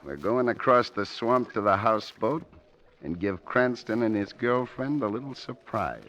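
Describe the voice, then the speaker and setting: An actor playing a ruthless, bullying bank robber with tough slangy talk in a 1940s radio drama. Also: male voice, fairly low pitch, animated, moderate pace, a bit bright. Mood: menacing. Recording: dull lo-fi old radio recording.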